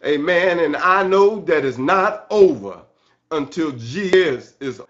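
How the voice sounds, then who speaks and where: A man preaching an excited church sermon in a halting way, repeating phrases and punctuating them with amen and hallelujah. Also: fairly high pitch. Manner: excited, fervent. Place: church service. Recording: dull, lo-fi sermon recording.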